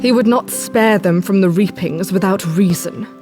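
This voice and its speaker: A woman plays a suspicious adventurer in a fantasy game. She is wry and measured, with a middling pitch. Music plays clearly behind her. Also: close to an everyday voice. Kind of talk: fantasy video game acting.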